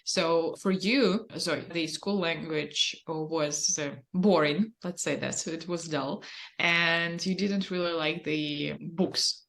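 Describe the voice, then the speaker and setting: A woman with a very low voice and a lot of vocal fry. She co-hosts a casual chat, keeps asking follow-up questions, and teases the others with quick laughs. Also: fairly even intonation, a bit bright. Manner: inquisitive, teasing, quick to laugh. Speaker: podcast co-host.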